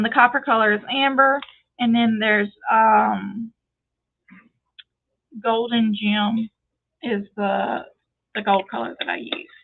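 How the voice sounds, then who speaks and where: A woman with a North Louisiana Southern accent, chatting casually as she demonstrates a painting craft. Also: fairly high pitch. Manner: chatty, explains as she paints, frequent fillers. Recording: tinny phone-like sound.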